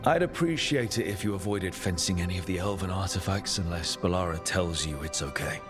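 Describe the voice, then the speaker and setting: Measured, inquisitive party leader; lowish voice, steady; game cutscene over music. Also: male voice. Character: curious adventurer leader, measured and probing. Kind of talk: video game cutscene dialogue.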